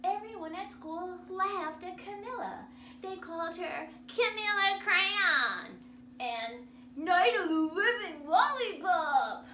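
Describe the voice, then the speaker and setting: A woman's voice: extremely high pitch, slow pace. Woman doing a dramatic kids storytime, takes her time, recorded far from the mic with noise. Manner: theatrical, animated, emphatic. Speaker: drama teacher. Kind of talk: expressive children's story read-aloud. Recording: roomy, distant mic, noisy background.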